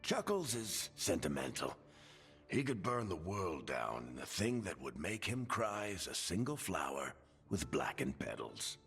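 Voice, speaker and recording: An actor voicing a wry older dwarf mentor in a video game, husky and gravelly, with a sardonic, reassuring way of talking. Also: male voice, deep voice, sing-song, unhurried, a bit bright, exaggerated voice. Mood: reflective, encouraging. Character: wry older dwarf man, battle-worn mentor and storyteller. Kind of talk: video game dialogue acting. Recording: reverberant hall, music underneath.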